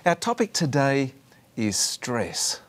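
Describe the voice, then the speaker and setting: A male host leads a studio interview with a lively, animated pitch. He introduces his guests and keeps them talking with friendly questions and short nods of agreement. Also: slow pace. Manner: friendly, affirming with short acknowledgements. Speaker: program host.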